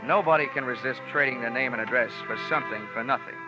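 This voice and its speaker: An actor playing a wry, wisecracking insurance investigator narrating in 1940s radio detective style. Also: male voice, mid-range voice, fast talker, close to an everyday voice. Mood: dry, wry. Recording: dull old radio recording with music.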